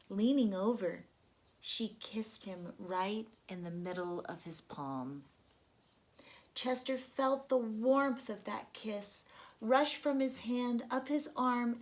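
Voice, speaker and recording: A woman reading a children's storybook aloud in a gentle, deliberate, expressive narration. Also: a little high.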